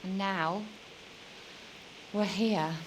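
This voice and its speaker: An actress playing a weary, lonely young medieval noblewoman in a video game, speaking in a measured, formal and wistful way. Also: medium pitch, steady tone, a little hiss or hum, rough recording. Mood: bitter, resigned. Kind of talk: dramatic video game dialogue.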